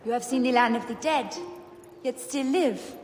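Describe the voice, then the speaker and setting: A woman's voice: fairly high pitch, normal speed, over music, natural voice. A woman playing a guarded, formal priestess of ancient Egypt in a video game cutscene. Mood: earnest. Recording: echoey temple-like hall, clear background noise.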